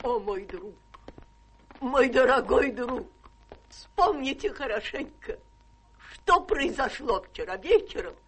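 A woman's voice: fairly high pitch, lively intonation, takes it slowly, pauses often, fairly bright tone, slightly rough, heavily acted. An actress playing an anxious, fussing genteel companion to a rich lady, melodramatic and pleading in radio-drama style. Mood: anxious, cautious. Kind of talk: stage-trained radio drama acting.

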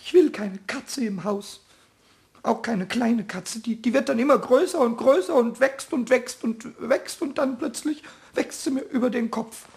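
A man voicing a small, cheeky, jealous kobold in a children's radio play, squawky and excitable, stammering out indignant repetitions. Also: very high-pitched, a little slow, gravelly, heavily acted. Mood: defiant grumbling.

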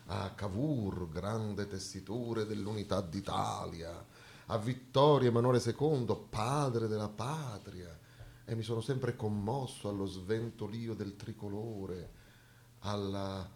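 A man from southern Italy delivering a theatrical monologue in a measured, solemn, deliberate way. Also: lowish voice, a little mellow, slight background noise. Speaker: stage actor, monologist.

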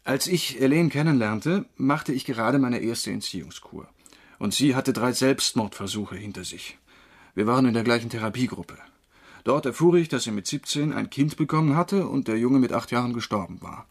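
An actor playing a troubled former drinker, wrongly convicted, confessing haltingly in a crime radio drama, with a husky voice. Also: male voice, medium pitch, expressive. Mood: sombre, reflective. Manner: halting, brooding.

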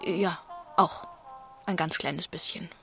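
An actress playing a gentle, hopeful young working-class woman in a radio drama, reassuring and devoted. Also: lowish voice, slow pace, creaky, soft background music, exaggerated voice. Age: young adult. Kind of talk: German radio drama acting.

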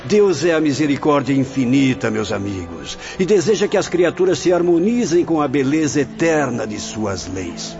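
A learned religious mentor in a Brazilian radio drama. He preaches gravely and rhetorically, with sweeping intonation and a fatherly sternness. The audio is dull and lo-fi. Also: on the high side, very animated, moderate pace, hardly any pauses. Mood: solemn, fatherly. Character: stern, compassionate male spirit mentor, a learned religious brother. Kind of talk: radio drama acting in formal language. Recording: dull lo-fi audio under music.

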